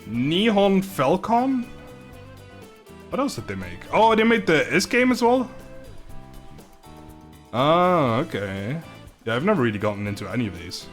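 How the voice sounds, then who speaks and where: A man's voice: a little high, sing-song, unhurried, pauses often, soft background music. A young man from the Netherlands with a Dutch accent, chatting casually on a live stream, laughing often and stumbling over his words.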